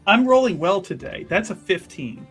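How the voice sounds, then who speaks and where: A man's voice: high voice, very animated, normal speed, a bit bright, faint music behind. A man casually narrating his character's actions and bantering as a tabletop roleplaying player.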